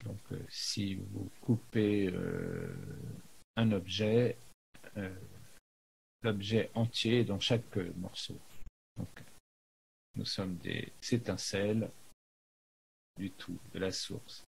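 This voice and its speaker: Slow, husky-voiced man teaching spirituality. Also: sits low, steady tone, low-quality recording. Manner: halting, frequent pauses mid-phrase. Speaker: spiritual teacher, video maker. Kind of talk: unscripted spiritual talk with guided meditation.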